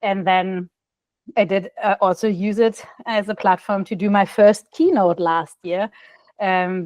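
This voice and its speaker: Animated woman on a dry video call. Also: mid-range voice, dry recording, lo-fi sound. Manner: animated, gestures a lot, frequent restarts and "no?" tags. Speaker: podcast guest. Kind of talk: casual interview storytelling. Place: bright room indoors.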